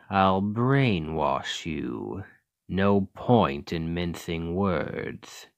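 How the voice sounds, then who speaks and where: A man playing an ancient Demon King, a coldly calculating tyrant who talks in a measured, condescending, menacing way in an ASMR roleplay. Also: sits low, no room sound, heavily acted.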